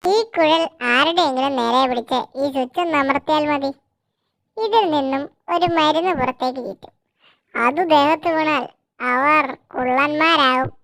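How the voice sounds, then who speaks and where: Eccentric cartoon inventor, male, boastful explainer with a bright, very high voice, acted by a storyteller. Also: normal speed, gravelly. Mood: proud. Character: eccentric male inventor proudly showing off gadgets.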